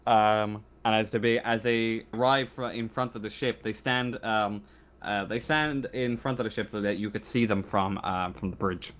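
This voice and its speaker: A man running a tabletop game, improvising scene narration in a halting way and repeating phrases as he searches for words. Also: on the low side, steady tone, a bit bright. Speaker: game master.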